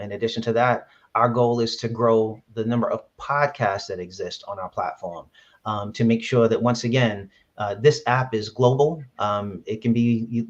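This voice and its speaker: A man from Brooklyn, an app founder, answering interview questions earnestly with many fillers and restarts. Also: mid-range voice. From Brooklyn, New York. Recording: dull lo-fi sound.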